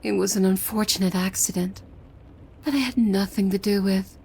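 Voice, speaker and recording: A woman playing a possessive demon maid in an ASMR roleplay, devoted and softly menacing, with hushed formal speech that often slips into breathy whispers. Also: mid-range voice, steady tone, normal speed, slight background noise, lightly acted. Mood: calmly deflecting.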